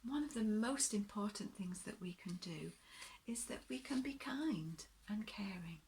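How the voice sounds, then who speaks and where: A woman reading a children's story aloud in a gentle, deliberate, expressive way, pausing to talk to her young listeners. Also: medium pitch, slight background noise.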